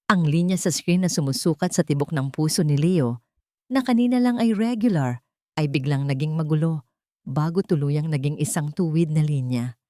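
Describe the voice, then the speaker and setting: A woman's voice: low voice, normal speed, a little mellow, dry recording, studio quality. A woman with a Filipino accent reading a dramatic scripted story as its narrator.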